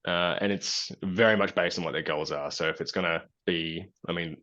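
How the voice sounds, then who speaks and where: Man, 30s, deep-voiced interview guest on a video call, reflective and hesitant, muffled audio. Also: fairly even intonation, normal speed, pushed and bright. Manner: thoughtful, many fillers and restarts. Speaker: guest, business founder. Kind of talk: relaxed conversational interview. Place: small plain room indoors.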